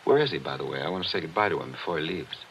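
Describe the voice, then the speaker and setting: Deep-voiced, brooding haunted by memories. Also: male voice, very fast, fairly bright tone, a little hiss or hum. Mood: concerned. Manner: wistful, theatrical delivery. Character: Joe Parker, brooding nostalgic man haunted by old memories. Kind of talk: 1940s radio acting. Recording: dull vintage radio recording with music.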